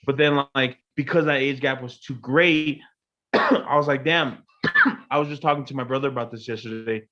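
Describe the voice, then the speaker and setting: A man's voice: medium pitch, normal speed, lo-fi sound. Young man telling a story casually. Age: 20s. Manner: animated storytelling, frequent "you know what I mean". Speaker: guest. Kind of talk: casual chat.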